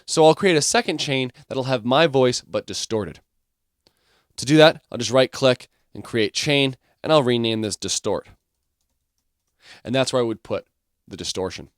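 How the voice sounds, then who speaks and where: A man's voice: medium pitch, expressive, normal speed, dry recording. Dry-sounding man giving a tutorial. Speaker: music production instructor. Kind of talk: software tutorial, explaining step by step.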